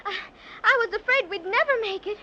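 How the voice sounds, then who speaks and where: A woman playing an earnest, excitable, anxious little girl in a children's radio serial. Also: very high-pitched, fairly quick, muffled recording, a loud crowd. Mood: tearful relief. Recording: very noisy 1930s radio recording.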